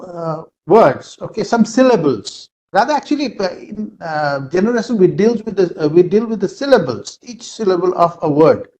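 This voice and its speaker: A man with an Indian English accent, a native Nepali speaker, giving an online lecture in a halting way with many fillers, over a video call. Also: sits high, low-quality recording.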